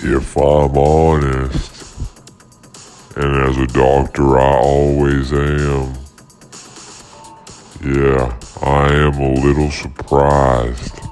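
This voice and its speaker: Comic radio play: male actor as unflappable DJ doctor, rock-bottom pitch, measured deadpan delivery, faint music underneath. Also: full character voice.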